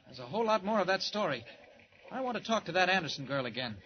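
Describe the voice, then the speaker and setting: An actor playing an earnest, courteous young newspaper reporter in 1940s radio drama style. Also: male voice, high-pitched, very animated, fast. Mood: businesslike. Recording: muffled, noisy 1940s radio recording.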